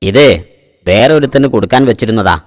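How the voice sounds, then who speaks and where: Male actor as cartoon store owner: low, grumbling, evasive schemer, reverberant sound. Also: a little fast, close to an everyday voice. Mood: evasive, sly. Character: grumbling, sly adult male shop owner, evasive boss. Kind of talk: cartoon voice acting. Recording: long reverberant echo.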